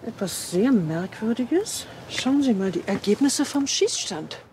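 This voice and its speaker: An actress playing a skeptical, sardonic police boss in a comic radio drama. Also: female voice, on the high side, expressive, measured pace, darkish tone, slight background noise.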